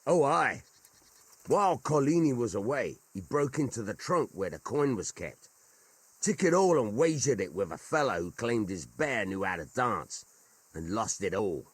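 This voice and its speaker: A man playing a rough, plain-spoken veteran mercenary in a video game, with a husky voice and an earthy, colloquial way of talking. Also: medium pitch, animated, moderate pace, no echo. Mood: amused. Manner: colloquial, rustic, earthy storytelling. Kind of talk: dramatic video game cutscene dialogue.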